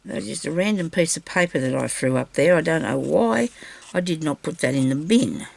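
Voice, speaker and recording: A woman with a slightly raspy voice, recovering from a sore throat, chatting casually to viewers and to herself as she crafts. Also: low-pitched, animated, fairly quick, darkish tone. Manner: chatty, talks to herself while working. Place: craft table indoors.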